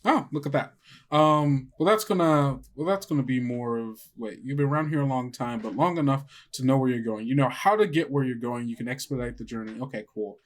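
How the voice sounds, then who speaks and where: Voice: mid-range voice, brisk. A game master in casual tabletop game chat, thinking aloud in a hesitant way.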